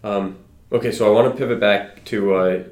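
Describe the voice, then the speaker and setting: Low-voiced male interviewer, echoey room. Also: steady tone, moderate pace, a little mellow. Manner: conversational, brief affirmations. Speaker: interviewer, host. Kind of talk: casual interview conversation. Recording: roomy echo.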